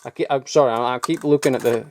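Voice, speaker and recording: A man's voice: medium pitch, fast, background noise. A young man rambling casually as he shows off old collectible toys in his home loft, offhand and chuckling at himself. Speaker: toy collector vlogger. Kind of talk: casual show-and-tell.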